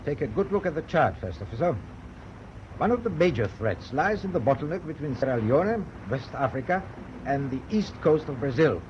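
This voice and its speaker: A formal, commanding German ship's captain in a radio drama. His voice sits fairly high and he speaks with measured, determined authority. The recording is dull, with faint noise. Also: sing-song. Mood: stern, resolute. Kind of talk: British radio drama acting.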